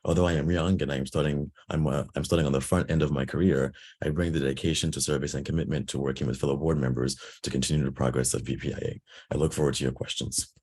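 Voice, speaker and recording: A man in his twenties, hoarse from losing his voice, giving an earnest candidate speech over a video call with many ums. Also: rumbling low, fairly even intonation, quick pace, darkish tone. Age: 20s. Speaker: board candidate.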